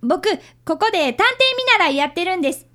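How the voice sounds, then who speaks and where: A woman plays an eager young boy in a radio drama. The voice is very high and lively, swinging widely in pitch. He is courteous and keen to help, like a junior detective on his best behaviour. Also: a little fast, heavily acted. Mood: cheerful. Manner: polite, bright and earnest. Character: eager, polite fifth-grade boy, an apprentice detective. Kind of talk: audio drama acting.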